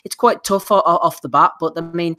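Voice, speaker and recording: A man's voice: a little high, fairly even intonation, a little fast, bright, forceful tone. A man hosting a casual boxing podcast, who says he is losing his voice and reads out listener questions. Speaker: podcast host.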